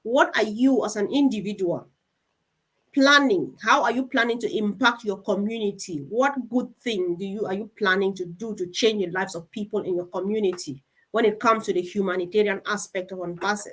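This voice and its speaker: A woman's voice: medium pitch, normal speed. A woman hosting a live online group discussion, speaking in an encouraging, effusively thankful manner. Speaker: host of an online team meeting.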